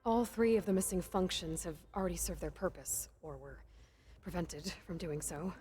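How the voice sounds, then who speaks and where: A woman's voice: lowish voice, normal speed, warm tone, slight background noise, natural voice. A young woman voicing a determined young female hunter in a video game, talking in a direct, inquisitive way. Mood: steady. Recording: game audio with music.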